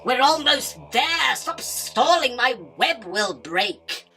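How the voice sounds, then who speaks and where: A woman's voice: high-pitched, very animated, takes it slowly, pushed and bright, soft background music, exaggerated voice. A woman playing a haughty, imperious spider queen in a radio drama, declaiming in a grand, self-important manner. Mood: impatient. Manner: pompous, grandiose, theatrical declaiming. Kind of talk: illustrated radio drama acting.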